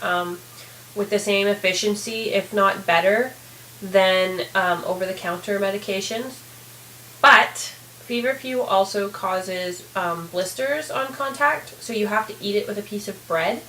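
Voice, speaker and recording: Hoarse woman rambling in an echoey room. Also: medium pitch, a bit bright, slight background noise. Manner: candid, rambling, many fillers. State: hoarse, raspy voice. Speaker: vlogger. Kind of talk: casual video diary.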